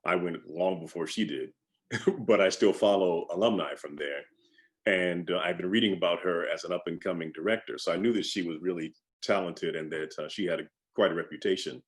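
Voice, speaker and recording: A middle-aged man with a deep, low voice gives an interview as an actor. He talks in a measured, reflective way, as in a personal testimonial.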